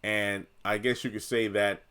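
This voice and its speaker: A man with a lowish voice, the kind heard on a fan's recap channel, chatting to viewers as he puzzles out last night's episode. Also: flat delivery, measured pace, sharp tone, clean tone. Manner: conversational, thinks aloud, addresses viewers directly. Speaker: video commentator. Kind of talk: casual opinion commentary on a TV drama.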